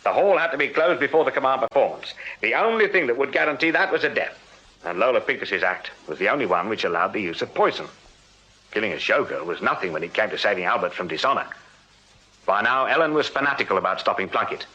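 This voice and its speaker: An actor playing a shrewd, unflappable British police sergeant in a Victorian radio drama, measured, formal and dryly authoritative. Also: male voice, on the low side, animated, sharp tone, husky, full character voice. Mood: measured explaining. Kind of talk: BBC radio period drama acting. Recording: muffled, very noisy radio drama recording.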